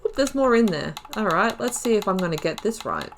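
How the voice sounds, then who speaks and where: A woman's voice: medium pitch, sing-song, a little fast, slight background noise. A woman hosting a gaming video, reading lore aloud and adding informal, self-correcting asides. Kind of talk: reading game lore aloud with casual commentary.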